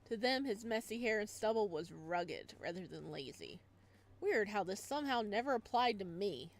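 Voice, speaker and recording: A woman's voice: mid-range voice, lively intonation, moderate pace. A woman hosting a playthrough, reading visual novel narration aloud expressively, with casual asides.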